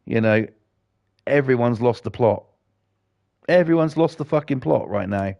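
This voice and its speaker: A middle-aged British man chatting and ranting with lots of swearing while he plays a game and talks to viewers, his voice a bit croaky. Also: mid-range voice, animated, unhurried, darkish tone. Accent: British English. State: slightly croaky. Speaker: gaming livestreamer. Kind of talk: casual chat while playing a game.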